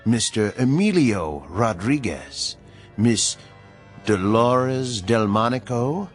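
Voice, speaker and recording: An actor playing a mysterious, knowing messenger in a radio drama, deliberate, coolly pedantic and deadpan. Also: male voice, medium pitch, soft background music.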